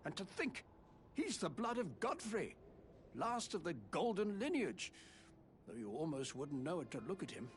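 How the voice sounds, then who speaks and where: An actor playing a pompous, mocking gentleman in a fantasy video game, speaking in a theatrical way. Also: high-pitched, very animated, normal speed, slight background noise. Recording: music behind the voice. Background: music.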